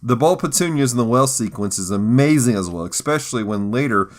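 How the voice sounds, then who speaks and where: A man's voice: medium pitch, animated, a little fast. A man hosting a podcast, chatting casually in a jokey, easygoing conversational style. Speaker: podcast host. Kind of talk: casual chat.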